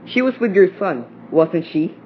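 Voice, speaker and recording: A woman's voice: lowish voice, animated, moderate pace. A woman playing a stern, defensive parent in an amateur radio play, with a blunt, challenging delivery. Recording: dull lo-fi audio with clear noise.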